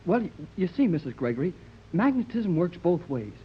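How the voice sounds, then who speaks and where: Eager boy adventurer, high lively voice. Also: husky, exaggerated voice. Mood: earnest. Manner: boyish, earnest, exclamatory, slightly halting. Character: eager, earnest boy adventurer, polite and excitable. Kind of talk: 1930s radio serial acting. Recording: muffled, noisy 1930s radio recording.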